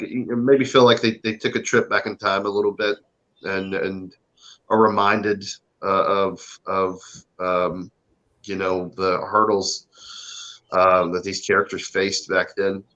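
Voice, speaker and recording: A man giving a casual interview answer as a guest, halting as he searches for words on a video call. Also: on the low side, fairly bright tone, muffled recording. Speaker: stage play cast member, interview guest.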